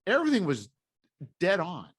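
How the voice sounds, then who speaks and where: A man's voice: sits high, wildly swooping pitch, moderate pace, fairly bright tone, husky. A man in a casual podcast conversation, explaining his opinions in an informal way. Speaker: podcast speaker discussing film franchises. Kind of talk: casual chat.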